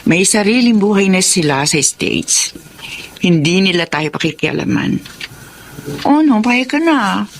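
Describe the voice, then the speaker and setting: A woman's voice: mid-range voice, lively intonation, a little slow, vocal fry, a little hiss or hum. An actress playing a wealthy older woman, a possessive and vengeful lover, in an emotional Filipino radio drama. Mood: coaxing, possessive. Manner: emotional, melodramatic.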